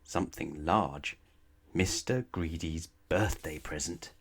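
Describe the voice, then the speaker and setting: A man reading a children's bedtime story aloud in a playful, emphatic way, addressing the young listener. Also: rumbling low. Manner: playful, emphatic, drawn-out key words, rhetorical questions to the listener. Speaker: storybook reader.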